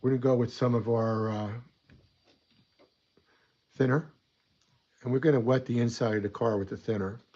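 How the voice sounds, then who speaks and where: A man's voice: medium pitch, fairly even intonation, normal speed, long pauses, mellow, highs cut off. A man hosting a casual hobby weathering tutorial at his desk, chatty and rambling, a bit stuffy from a coming cold. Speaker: model weathering host.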